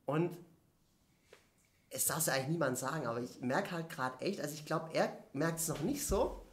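A man's voice: a little high, moderate pace, a little hiss or hum, natural voice. A man playing a hesitant, admiring amateur jogger in an improvised comedy radio play, rambling and often starting over. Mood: hesitant, confiding. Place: dark curtained theatre stage. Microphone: stand microphone. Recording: roomy stage sound.

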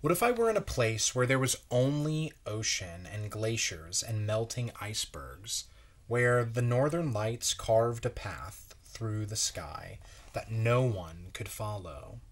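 Male, thirties, lower register, measured and wondering storybook read-aloud. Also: very animated. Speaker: children's story reader. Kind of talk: picture book read-aloud. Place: small bright room at home, indoors.

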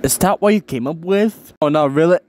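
A man's voice: fairly high pitch, fairly quick, a little mellow, lightly acted. A man voicing a jealous, exasperated, sarcastic male creature in a crude comedy cartoon. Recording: noisy fan dub audio.

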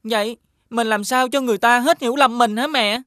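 A woman voicing a polite, sorrowful young village boy in an animated folktale, speaking with hesitant deference. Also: a little high, a little fast, no room sound, studio quality, natural voice. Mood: troubled.

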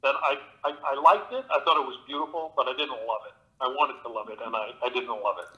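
An older man speaks with a bright, forceful tone, earnest and thoughtful, often circling back to restart a phrase. He sounds like a guest talking over a remote call. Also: medium pitch, steady tone, fast, lo-fi sound. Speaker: guest actor and narrator. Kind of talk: reflective interview talk.

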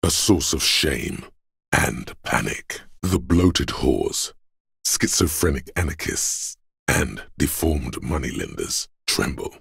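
A man voicing a grim, stoic inner voice of endurance for a game, in a solemn, portentous manner with a husky, gravelly quality. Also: a bass voice, sing-song, takes it slowly, fairly bright tone, dry recording, studio quality, full character voice. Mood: solemn, menacing. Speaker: voice actor. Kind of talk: dramatic game narration, second person.

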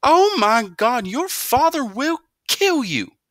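A man's voice: on the high side, very animated, moderate pace, pushed and bright, dry recording, studio quality, voice pushed up. Man playing a scolding exasperated mother. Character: stern exasperated mother scolding her son.

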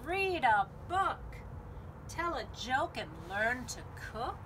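High, animated woman reading to children. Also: background noise. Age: middle-aged. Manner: lively, sing-song, with drawn-out deliberate phrasing. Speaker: library storytime host. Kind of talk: picture-book read-aloud for children. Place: outdoors on a home patio.

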